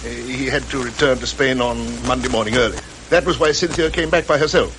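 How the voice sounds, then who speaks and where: A man's voice: medium pitch, fairly quick, lightly acted. A British actor playing a worried, middle-aged titled father, polite, reserved and hesitant, in an old-fashioned radio drama style. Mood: earnest, subdued. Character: worried, well-to-do father, a titled English gentleman. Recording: noisy old radio recording.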